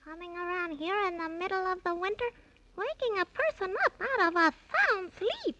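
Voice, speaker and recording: Squeaky, cranky little imp. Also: female voice, normal speed. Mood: irritated, taunting. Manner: scolding, taunting. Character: cranky, sassy little imp roused from sleep. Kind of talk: 1930s radio acting. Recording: noisy, dull 1930s radio recording.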